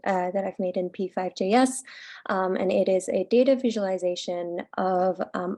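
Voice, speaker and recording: A woman's voice: fairly low pitch, mellow, no room sound. A woman from Indonesia introducing her project on a video call in a casual, filler-heavy way, tired but upbeat. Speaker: fellowship presenter.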